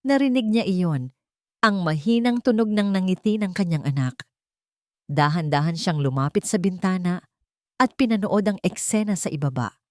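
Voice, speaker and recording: A woman narrating a dramatic romance story in a soft, emotive way. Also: fairly low pitch, no echo, studio quality.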